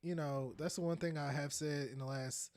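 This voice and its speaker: A man's voice: on the high side, fairly even intonation, normal speed, a little mellow, no room sound. A man in his thirties hosting a podcast, chatting casually and animatedly as he browses and reads aloud, laughing often. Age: 30s. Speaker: podcast host. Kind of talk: casual commentary while browsing and reading aloud.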